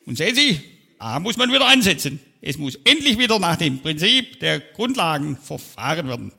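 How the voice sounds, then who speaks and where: A man's voice: fairly high pitch, very animated, moderate pace, gravelly, sharp tone, husky, full character voice. A man playing a pompous, self-important speaker who gives a long-winded stage speech. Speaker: comedian on stage. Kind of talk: parody of a pompous official speech. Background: audience laughter.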